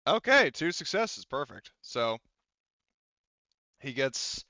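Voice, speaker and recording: A man's voice: medium pitch, sing-song, slow pace, pushed and bright, lo-fi sound, a little hiss or hum. A man running a tabletop game as game master, narrating casually in a rambling, profane, jokey way.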